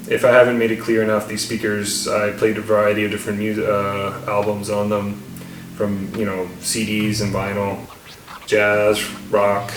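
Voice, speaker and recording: Low-voiced man in an echoey room. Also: noisy background. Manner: halting, rambling, repeats words. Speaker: product reviewer and channel host. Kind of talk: casual unscripted audio gear review. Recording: roomy echo, distant mic, faint music.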